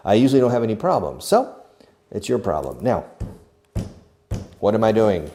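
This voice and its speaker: Man, English teacher, beginner lesson, deliberate demonstrating manner, lowish voice. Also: big pitch swings, dark tone, slight background noise. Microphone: video recording.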